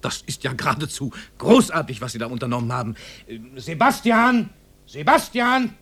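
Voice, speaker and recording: A world-famous writer in a vintage radio play. He sounds husky and animated, moving through a wide range of pitch. His manner is suave, lordly and used to giving orders. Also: moderate pace. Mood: commanding. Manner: lordly, urbane, condescending. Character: celebrated male writer, worldly, suave and commanding. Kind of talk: 1950s German radio play acting.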